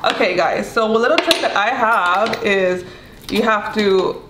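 Woman, Mexican accent, young, playful cooking chat, roomy kitchen, clear background noise. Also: medium pitch, a little slow. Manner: chatty and playful, giggly, searching for English words. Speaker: cooking vlogger. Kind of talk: casual cooking demo chat. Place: bright home kitchen, indoors. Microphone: camera mic from a few feet away.

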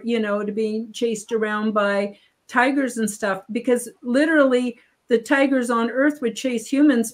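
Rambling woman on a video call. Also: fairly high pitch. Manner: rambling, frequent restarts and fillers. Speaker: guest. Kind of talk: casual interview talk. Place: home room on video call.

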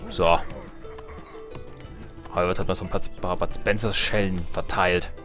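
A man's voice: low voice, flat delivery, a little slow. A man giving casual, jokey let's play commentary on a strategy game, muttering to himself and to the game's characters. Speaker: let's player, host. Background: game music and sounds.

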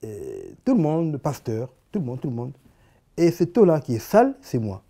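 Halting Congolese man with a dark voice. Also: mid-range voice, vocal fry, breathy edge. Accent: Congolese French. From Congo (Kinshasa). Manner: halting, many repeated words and restarts, animated hand gestures. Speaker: artist guest. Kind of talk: interview answers. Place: living room, indoors.

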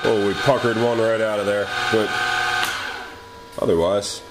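Low-voiced man talking over his workbench. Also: flat delivery, measured pace, very noisy. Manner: joking, exclaiming and thinking aloud while working. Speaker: maker channel host. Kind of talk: casual workshop commentary. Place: home garage workshop.

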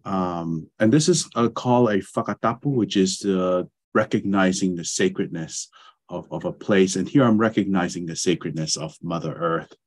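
Low-voiced Tongan-accented man lecturing. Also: moderate pace. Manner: hesitant, many fillers and restarts. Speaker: guest presenter, anthropology professor. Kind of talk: online lecture with slides. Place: home study with bookshelves. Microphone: video call.

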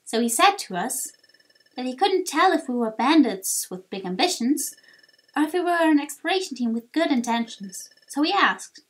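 A woman's voice: sits high, lively intonation, moderate pace, poor audio. A woman voicing a childlike, earnest, kindly creature guildmaster in a story video game.

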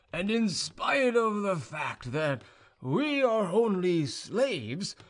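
A man acts out a tipsy, swaggering serf from a storybook tale, pitched high and lurching widely in tone. He drags out his boasts slowly, hesitating like a drunkard, proud and defiant throughout. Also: fairly bright tone, full character voice. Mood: boastful, sly.

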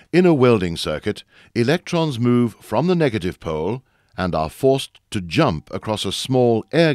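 Deep-voiced male instructional narrator, very lively intonation. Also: dry recording, studio quality. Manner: measured, formal explaining. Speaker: narrator of a training video. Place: close, dry studio voice-over.

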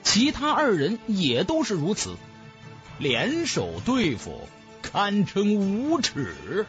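A man's voice: high voice, huge pitch swings, slow pace, a bit bright, faint music behind. A man narrating a fantasy novel in a theatrical, emphatic style, as a scripted audiobook.

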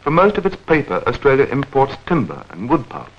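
A man narrating a 1940s educational newsreel in a measured, clearly enunciated, explanatory manner. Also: medium pitch, wildly swooping pitch. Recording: dull vintage 1940s film soundtrack.

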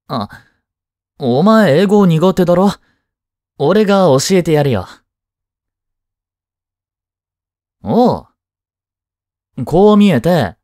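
A man's voice: a little high, dark tone, no echo, studio quality. A man playing a flustered teenage boy jealous over his childhood friend in a romantic voice drama, boyish and hesitant. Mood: awkward, cheeky. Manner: boyish, hesitant, muttering to himself. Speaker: amateur voice-drama actor. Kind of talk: Japanese romantic voice drama acting.

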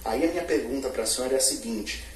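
A man's voice: medium pitch, quick pace, a little mellow, recorded from a distance. A man with a Bahian Brazilian accent, a TV news reporter posing formal, pressing questions during a live interview with a handheld mic. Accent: Brazilian Portuguese, Bahia. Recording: echoey room, off-mic, faint noise.

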